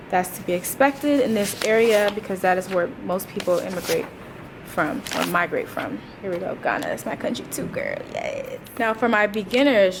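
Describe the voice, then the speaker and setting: A woman's voice: mid-range voice, creaky, background noise. A woman in her twenties, a teacher, chatting casually to a vlog audience in an informal, slangy way with wry asides. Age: 20s. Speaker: teacher and vlogger.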